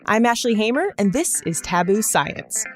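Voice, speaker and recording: Lively female podcast host with wry delivery. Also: medium pitch, normal speed, faint music behind. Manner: wry, joking asides. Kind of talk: scripted narration, conversational personal storytelling.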